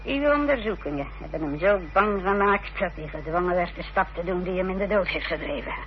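An actress playing a bitter, vengeful middle-aged woman in a radio play, speaking formally and deliberately. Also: on the low side, expressive, slight background noise, close to an everyday voice. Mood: cold gratitude. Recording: dull, humming old radio drama recording.

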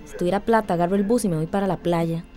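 A woman's voice: lowish voice, fairly even intonation, fast, mellow, faint music behind, close to an everyday voice. An actress playing a bitter, restless young woman in a radio drama, with a Costa Rican accent. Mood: fed up, scornful. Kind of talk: Spanish-language radio drama acting.

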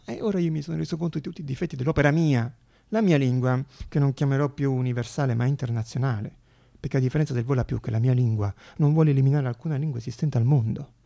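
Casting: idealistic young student, intense, halting radio-play delivery, rough creaky edge. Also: male voice, mid-range voice, dark tone, no room sound. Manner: intense, halting, repeats words, drops to whispers. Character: earnest young male student inventor, idealistic, anxious, excitable. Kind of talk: theatrical radio monologue.

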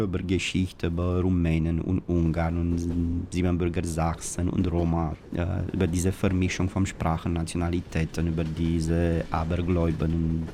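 A man who is not a native German speaker, an interviewed expert talking spontaneously and repeating points for emphasis. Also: deep voice.

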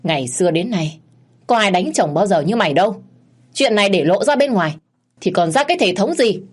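A woman voicing an older father-in-law who gruffly scolds his daughter-in-law. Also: medium pitch, animated, fairly quick, pitched above the performer's own voice. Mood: scolding.

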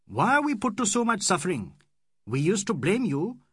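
A man reading an excitable, proud young Indian man who shouts in impassioned, quick rising outbursts. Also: high-pitched, husky, dry recording, clean studio sound, pitched above the performer's own voice, full character voice. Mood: heated.

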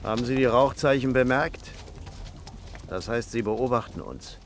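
An actor playing a wary, blunt frontier army scout in a German radio play, given to gloomy warnings. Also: male voice, mid-range voice, measured pace, a little hiss or hum, lightly acted.

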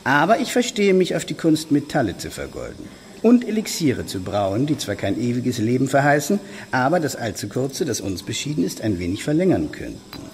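An actor playing a courteous, sly alchemist lord in a German radio drama, explaining his marvels formally with a husky voice. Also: mid-range voice, big pitch swings, brisk, a little mellow, slight background noise. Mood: smooth, insinuating. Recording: long reverberant stone-hall echo.

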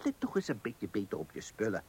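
An actor playing a meddling, boastful know-it-all neighbour in a radio comedy play, pompous and pushy. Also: male voice, on the low side, huge pitch swings, fast talker, darkish tone, husky, heavily acted. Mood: boastful, scolding.

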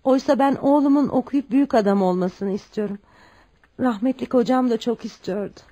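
A humble, proud widowed mother in a radio play voiced by a woman, speaking. Her tone is mellow and a little high. She is polite, deferential and somewhat hesitant. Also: normal speed, lightly acted. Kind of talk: Turkish radio drama acting.

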